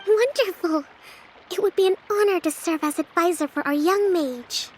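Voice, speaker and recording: Very high, polite young advisor. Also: female voice, normal speed, a little hiss or hum. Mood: delighted. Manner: courteous, careful phrasing. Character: polite, thoughtful young female advisor, gentle and formal.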